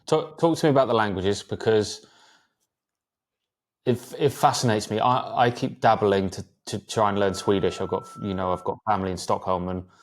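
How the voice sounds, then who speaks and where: A man's voice: on the low side, steady tone, moderate pace. A man hosting a casual interview, asking questions loosely, restarting words and dropping in short agreeing sounds. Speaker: host.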